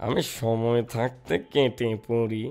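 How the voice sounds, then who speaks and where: A man's voice: mid-range voice, flat delivery, slow pace, a little mellow, lightly acted. A man playing a timid, hard-up cartoon husband who is an apprentice thief, speaking anxiously and pleadingly. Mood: alarmed. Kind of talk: cartoon voice acting.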